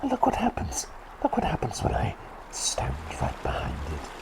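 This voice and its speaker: A man voicing a kindly, forgetful doctor turned talking bird in a gentle ASMR roleplay, breathy and half-whispered, often hesitating and repeating his phrases. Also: fairly high pitch, wildly swooping pitch, moderate pace, heavy background noise, exaggerated voice. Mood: gentle, musing. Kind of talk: ASMR roleplay with personal attention.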